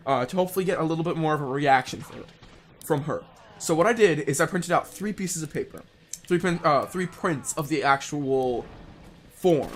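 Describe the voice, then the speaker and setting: A young man telling a casual, unscripted story in a rambling, halting way, often rephrasing himself as he goes. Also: fairly high pitch, slight background noise. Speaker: online video storyteller.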